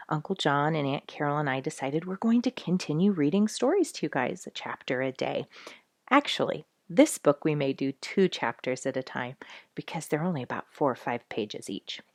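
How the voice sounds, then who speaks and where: A woman's voice: sits low, no room sound, studio quality. A woman hosting a children's story reading, addressing young listeners in a friendly way as she narrates and chats. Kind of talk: read-aloud children's narration with chatty asides.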